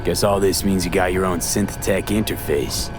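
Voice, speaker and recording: A man's voice: sits low, fairly even intonation, moderate pace, natural voice. An actor playing a tough, cynical male street mercenary with an American accent and terse, wary delivery in a video game. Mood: skeptical. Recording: music behind the voice.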